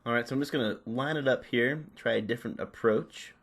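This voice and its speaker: A man's voice: mid-range voice, brisk, a bit bright. Man talking through a hands-on repair tutorial. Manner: unscripted, narrating while working. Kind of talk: casual step-by-step hands-on instruction.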